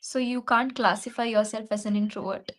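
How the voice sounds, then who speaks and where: A young woman from Kerala speaks with an Indian English accent in a measured, reflective manner. She talks as an English tutor in a practice conversation. Her voice sits fairly high. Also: fairly even intonation.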